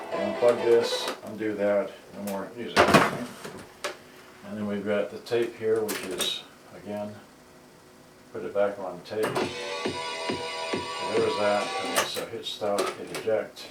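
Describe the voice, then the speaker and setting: A man giving a casual, unscripted demonstration as a repairer, halting and muttering his thoughts aloud as he handles gear. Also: on the low side. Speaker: repairer demonstrating cassette players. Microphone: camera on tripod at some distance. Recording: roomy, distant mic, loud background noise.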